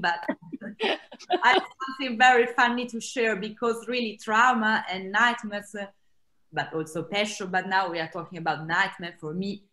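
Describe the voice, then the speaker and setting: A woman in her thirties with an Italian accent, talking animatedly on a video call from a small room at home, with frequent laughs and restarts. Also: medium pitch. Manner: animated, gestures a lot, laughs, many restarts. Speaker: author and Greek scholar. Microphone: laptop webcam on a video call.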